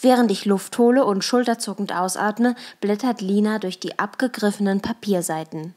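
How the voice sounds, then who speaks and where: A woman's voice: medium pitch, normal speed, a little mellow. A young adult woman reading her own short story as a first-person literary narrator. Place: improvised desk studio at home.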